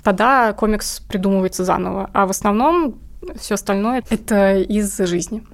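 A woman with a mid-pitched voice talks casually as an interviewee. She tells things in an informal, slangy way, as in relaxed conversation. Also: normal speed, creaky. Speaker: interviewed comic artist and cat owner.

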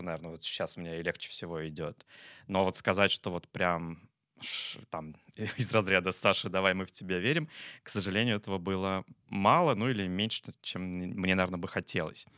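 A man's voice: sits low, fairly quick, pushed and bright, no room sound, studio quality. A man co-hosting a talk as a psychologist, chatting casually and reflectively, often searching for words. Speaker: psychologist and talk show co-host.

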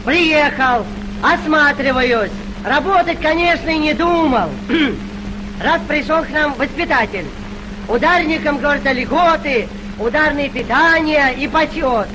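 A very high-pitched male convict, recounts his life in a labour camp. It is a dramatic monologue from an old film, with clear noise over the track. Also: unhurried. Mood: recounting. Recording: noisy old film soundtrack.

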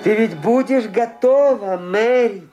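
Radio drama phantom: dead man summoning a loved one, solemn, unhurried, faint music beneath. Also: high voice, warm tone. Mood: eerie, solemn. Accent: Irish, as the story says. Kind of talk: radio play acting.

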